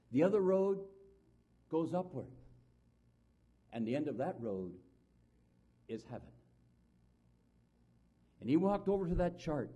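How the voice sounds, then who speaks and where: An earnest male preacher with a husky, mellow voice. He swings from strong, loud lines to soft, low ones to press his point. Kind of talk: sermon with personal story.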